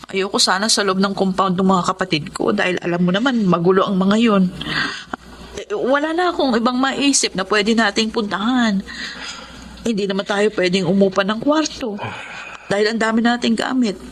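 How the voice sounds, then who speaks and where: Woman acting worried mother over clear noise. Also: medium pitch, normal speed, lightly acted. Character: anxious, weary poor mother and wife. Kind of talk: Tagalog radio drama acting.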